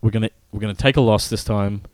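A man co-hosting a gaming news podcast, reading out stories in a casual, halting way with many fillers and restarts. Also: on the low side, fairly even intonation, clear, resonant tone, dry recording. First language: not Polish. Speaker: podcast co-host.

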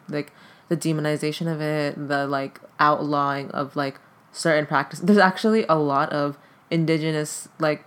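A podcast guest whose first language is Tagalog, talking casually in a hesitant way and filling nearly every phrase with "like.". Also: mid-range voice. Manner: hesitant, heavy use of "like" fillers. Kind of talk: casual conversation.

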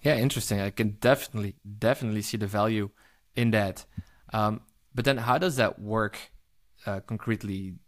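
A man interviewing a podcast guest, asking questions in a halting, casual way full of fillers. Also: lowish voice. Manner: hesitant, halting phrasing with many fillers.

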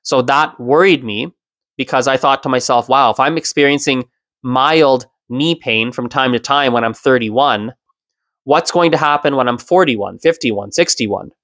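A man in his thirties hosting his own solo podcast, talking in a reflective, explanatory way with the odd soft laugh. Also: medium pitch, normal speed, no echo. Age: 30s. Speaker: podcast host.

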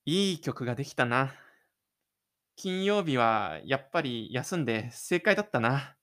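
A man's voice: mid-range voice, sing-song, a little slow, a bit bright. A man playing a shy, stubborn teenage schoolboy in an audio drama, muttering an inner monologue to himself. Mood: reluctant, brooding.

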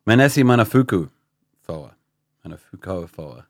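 A man's voice: low-pitched, expressive, moderate pace, no echo, clean studio sound. A man with an Australian accent co-hosting a podcast in casual chat, joking and self-mocking. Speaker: podcast co-host.

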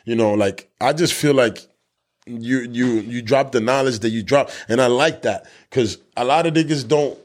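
A man's voice: mid-range voice, moderate pace. A man in his thirties hosting a podcast, talking in loose, swearing street slang with many restarts. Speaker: podcast host. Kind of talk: casual chat.

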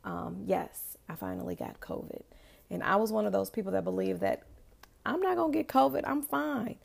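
A woman hosting her own podcast as a confidence coach, talking casually and emphatically with a hoarse, raspy voice. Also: mid-range voice, big pitch swings, normal speed, a little mellow. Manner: chatty, emphatic, frequent "right?" and "um". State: hoarse, raspy voice after illness.

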